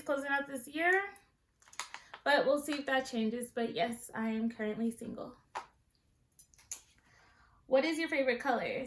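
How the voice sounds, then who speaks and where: A woman's voice: a little high, fairly bright tone, reverberant. A woman in her twenties from Southern California, chatting casually and sassily to her vlog viewers. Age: 20s. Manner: chatty, sassy, playful asides. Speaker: beauty vlogger. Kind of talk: casual chat.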